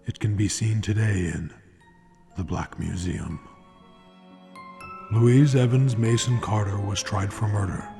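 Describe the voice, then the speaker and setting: A solemn, theatrical mystery storyteller performed by a man in the old radio manner. His voice is lowish and gravelly, with deliberate dramatic pauses over clear music. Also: dark tone. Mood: solemn, ominous. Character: grave, theatrical male true-crime storyteller host. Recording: music behind the voice.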